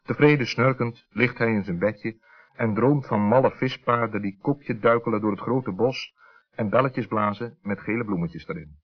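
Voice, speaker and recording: A man narrating a scripted children's story as an expressive storyteller. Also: lowish voice, moderate pace. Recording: dull 1960s radio recording.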